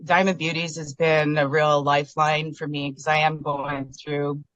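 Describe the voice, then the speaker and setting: A woman's voice: low-pitched, level tone, unhurried, fairly bright tone, dry recording, dull audio. A woman sharing personal feelings over a video call in a heartfelt, rambling way, close to tears. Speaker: guest member.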